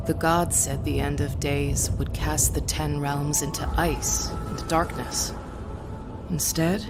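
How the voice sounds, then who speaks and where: An actress playing a battle-weary warrior goddess in a video game, resolute and wry, speaking in measured, theatrical, formal phrases. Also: female voice, low-pitched, flat delivery, loud music behind the voice. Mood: grave, resolute. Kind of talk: dramatic video game voice acting.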